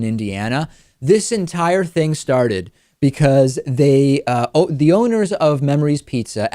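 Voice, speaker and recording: A man presents a talk show in a conversational, emphatic style. His voice sits at a middle pitch and the studio sound is very dry. He leans on important phrases as he addresses listeners. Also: darkish tone, no room sound.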